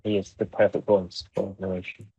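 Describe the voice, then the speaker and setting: A man's voice: sits low, little pitch movement, a little fast, dark tone, breathy edge, no echo. A man co-hosting a podcast in casual, conversational fan chat. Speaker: podcast co-host. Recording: dull, dry, band-limited microphone.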